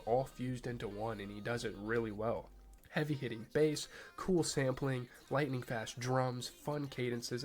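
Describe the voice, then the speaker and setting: A young man with a stuffy, nasal voice as he hosts a casual album review, talking loosely with lots of fillers and restarts. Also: medium pitch. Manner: loose and excited, many fillers and repeated starts. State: congested, nasal. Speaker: music reviewer, channel host.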